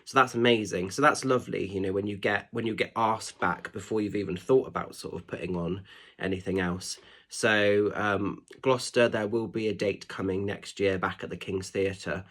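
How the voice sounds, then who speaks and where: A man, a spiritual medium, giving a casual oracle card reading in a nasal, stuffed-up voice, chatty and gently reassuring. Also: on the low side, fairly even intonation, normal speed. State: congested with hay fever or a cold. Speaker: spiritual medium and tarot reader.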